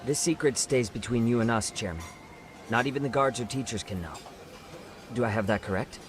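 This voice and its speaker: A shrewd private investigator voiced by a man with a medium-pitched voice. He talks in a casual, knowing way, like a character in a game cutscene. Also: brisk, lightly acted. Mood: matter-of-fact. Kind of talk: video game cutscene acting.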